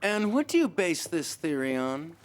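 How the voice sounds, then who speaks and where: A man's voice: on the high side, big pitch swings, moderate pace, slight background noise. A man voicing a confident, loyal fan club member in an anime dub, speaking emphatically.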